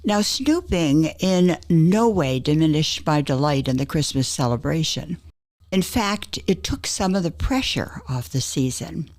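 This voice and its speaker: A woman's voice: low voice, lively intonation, measured pace, darkish tone. An older woman reading her own personal essay aloud as a scripted story.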